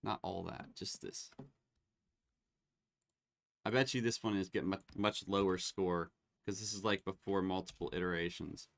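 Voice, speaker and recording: A man hosting a live online demo, chatting casually and getting excited as he tests tools. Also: low voice, moderate pace, vocal fry, pauses often, dry recording. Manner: excitable, laughs and exclaims while testing tools.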